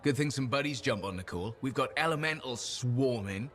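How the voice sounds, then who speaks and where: A male actor plays an easygoing pilot in a video game. His medium-pitched voice is upbeat and folksy. He talks like a chatty storyteller who loves adventure. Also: lively intonation, normal speed, fairly bright tone, natural voice. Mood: upbeat, boastful. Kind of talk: video game dialogue acting.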